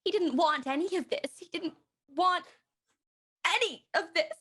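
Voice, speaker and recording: A woman's voice: extremely high pitch, normal speed, a bit bright. A woman playing a distraught, tearful young house guest in a radio murder mystery, repeating herself brokenly. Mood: weeping grief.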